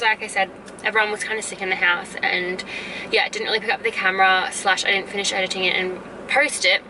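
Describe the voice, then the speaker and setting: A woman's voice: medium pitch, recorded from a distance, background noise. Congested Australian woman chatting away. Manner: rambling and chatty, full of "um" and "like". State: congested with a head cold and cough. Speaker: vlogger. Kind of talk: casual catch-up chat to camera.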